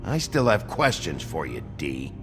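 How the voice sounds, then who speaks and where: An actor playing a gruff, brooding sheriff in a video game, terse, stern and blunt, with a gravelly voice. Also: male voice, rumbling low, sing-song, unhurried, full character voice. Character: gruff, brooding sheriff and interrogator of fairy-tale folk. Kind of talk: video game dramatic acting. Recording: loud music behind the voice.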